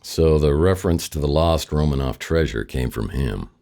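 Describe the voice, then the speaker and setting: A man playing a world-weary, wisecracking private detective in a noir radio drama, with a wry deadpan delivery. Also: rumbling low, normal speed, long reverb tail. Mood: dry, businesslike. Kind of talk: noir radio drama, first-person narration and dialogue.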